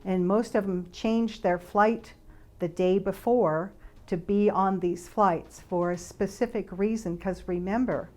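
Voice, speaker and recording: A woman giving an informal explanatory monologue in a conversational, speculative way, halting with pauses. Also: mid-range voice, steady tone, darkish tone. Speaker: speaker, flight attendant and author.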